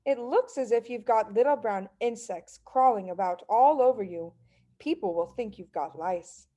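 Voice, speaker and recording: A woman's voice: a little high, moderate pace, warm tone, natural voice. A woman voicing a clever little girl in a children's story, innocent, matter-of-fact and cheeky. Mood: needling.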